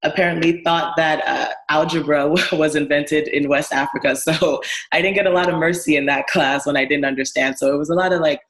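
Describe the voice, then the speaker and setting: A young woman from Ghana, a guest business owner telling her story in a relaxed, friendly way, laughing easily. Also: low voice, fairly quick. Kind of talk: casual interview conversation. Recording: echoey room sound.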